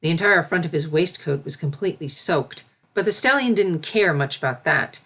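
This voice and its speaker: A woman's voice: low voice, expressive, moderate pace, fairly bright tone. A woman narrating a scripted story as its reader.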